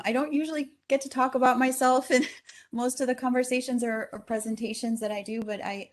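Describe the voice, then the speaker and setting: A woman from Chile giving a conversational talk at a virtual event, with frequent ums and restarts. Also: mid-range voice. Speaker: speaker. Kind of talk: conference talk.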